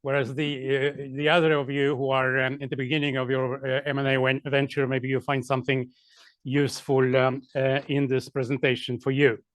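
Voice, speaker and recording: A man, a lawyer giving a seminar talk, halting with many fillers and dry asides. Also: mid-range voice, little pitch movement, no echo.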